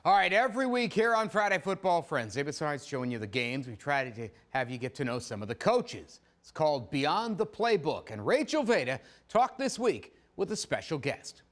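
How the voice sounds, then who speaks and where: Man, show host, lively swooping pitch, upbeat, segment intro. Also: normal speed, hardly any pauses.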